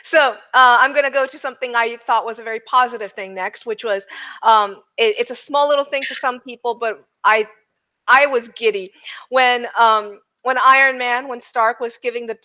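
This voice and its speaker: A woman with a bright, forceful voice talks through films as a podcast panelist. She is casual but opinionated and emphatic. The audio is somewhat dull and lo-fi, and her pitch sits fairly high. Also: moderate pace. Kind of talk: casual film discussion.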